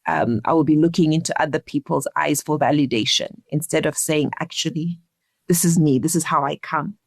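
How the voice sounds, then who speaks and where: A woman from Zimbabwe, speaking earnestly and deliberately as a reflective interview guest. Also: sits low, steady tone, no room sound. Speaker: interview guest, leadership coach.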